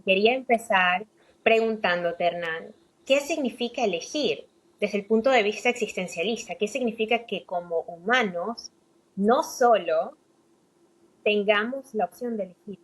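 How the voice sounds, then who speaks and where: A woman's voice: mid-range voice, moderate pace, husky, rough recording. A woman co-hosting a philosophy podcast, curious, laying out ideas and asking her guest long questions. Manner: curious, frames questions at length. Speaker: podcast co-host. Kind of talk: podcast conversation.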